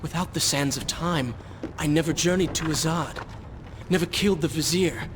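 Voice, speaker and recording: An actor playing a brooding, remorseful young warrior prince in a video game, speaking with grave, earnest drama. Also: male voice, on the high side, normal speed, noisy background, lightly acted.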